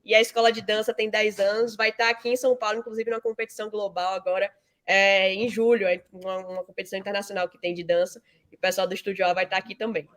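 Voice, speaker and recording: A woman at a medium pitch. She answers as an interview guest in a conversational, explanatory way. Little tag questions are sprinkled through her phrases. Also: little pitch movement, fast talker, fairly bright tone. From Salvador, Bahia, Brazil. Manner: frequent 'né' tags, explanatory. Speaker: interview guest, fintech founder and CEO.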